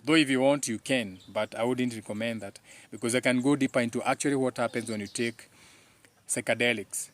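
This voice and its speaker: A man's voice: medium pitch, fairly even intonation, brisk. A man with a Kenyan accent and Kikuyu as his first language, speaking informally as the host of a live talk, emphatic and preachy, often repeating his phrases.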